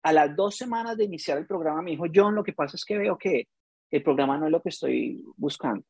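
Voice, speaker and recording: A Colombian man with a slightly raised voice and a husky edge, talking as a business coach. He tells stories emphatically and acts out dialogue in a dry, close recording. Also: a little high, animated, fast, darkish tone, dry recording. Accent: Colombian Spanish. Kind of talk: casual podcast chat.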